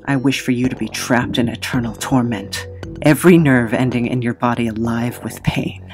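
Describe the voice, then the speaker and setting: An actress playing a defiant, vengeful heroine in an audio drama, with intense, deliberate, dramatic delivery. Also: female voice, very deep voice, steady tone, darkish tone, soft background music. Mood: cold vengeance.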